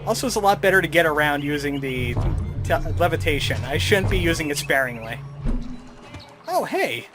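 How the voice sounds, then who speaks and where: A man's voice: on the high side, sing-song, normal speed. A man giving casual, snarky let's play commentary as he plays a video game. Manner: snarky, wry. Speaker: gamer, let's play host. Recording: commentary over game music.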